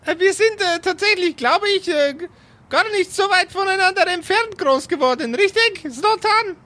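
A man's voice: very high voice, expressive, normal speed, sharp tone, no room sound, exaggerated voice. A man voicing a prim, stammering, smug magician rival in an improvised tabletop audio play. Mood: prim, boastful. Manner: stammering, hesitant.

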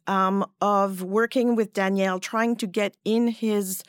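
A woman from Switzerland whose first language is French talks in a measured, thoughtful way, stopping now and then to find her words. Her voice sits in an ordinary middle pitch. The sound is close and dry. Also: fairly even intonation, smooth, no room sound. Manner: thoughtful, measured, with pauses and fillers. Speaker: guest, cookbook writer. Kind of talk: podcast interview.

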